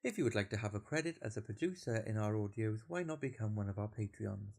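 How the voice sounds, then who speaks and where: A man's voice: fairly low pitch, expressive, fast talker, gravelly, darkish tone. A man reading scripted credits as an announcer.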